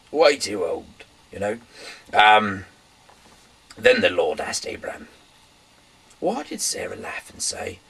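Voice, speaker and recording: A man reading scripture aloud informally in a halting way, repeating and stumbling over words, with a raspy, breathy voice that often trails off. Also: fairly low pitch, pushed and bright, low-quality recording. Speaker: amateur Bible reader.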